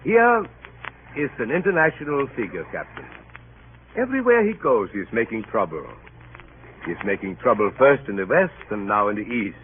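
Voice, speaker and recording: A man's voice: mid-range voice, very animated, moderate pace, close to an everyday voice. A fussy, sardonic colonial inspector played by a man with a Dutch accent and lilting, widely swinging intonation. He is mock-polite and oddly inverted in his phrasing, in old radio drama style on a scratchy, narrow-band recording. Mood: emphatic.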